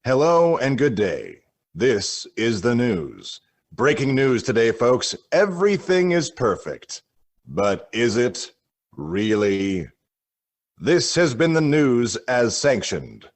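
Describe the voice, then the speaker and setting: An actor playing a dramatic, falsely upbeat radio newsreader in a mock newscast. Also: male voice, mid-range voice, big pitch swings, takes it slowly. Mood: portentous. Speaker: news and weather reader.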